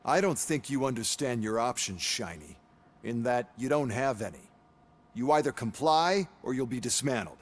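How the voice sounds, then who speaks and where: An actor playing a cynical, condescending male corporate overseer in a video game, sarcastic and quietly threatening. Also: medium pitch, animated, moderate pace, natural voice. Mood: smug, insistent. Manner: sarcastic, condescending, foul-mouthed. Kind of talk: video game cutscene acting.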